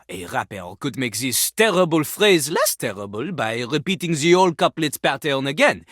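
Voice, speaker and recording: A woman's voice: deep voice, dry recording, clean studio sound. A woman voicing a vain, scornful French-accented toilet who fancies himself a rap master, in a theatrical video game performance with a pompous, dismissive manner. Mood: haughty lecturing. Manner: pompous, speaks of himself in third person, dismissive.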